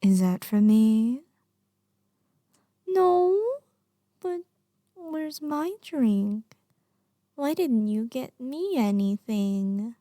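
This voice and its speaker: A woman's voice: medium pitch, warm tone, dry recording, studio quality. A woman playing a lovestruck, obsessive young yandere girl under arrest in an ASMR roleplay, coy and pouty with hesitant, half-whispered moments.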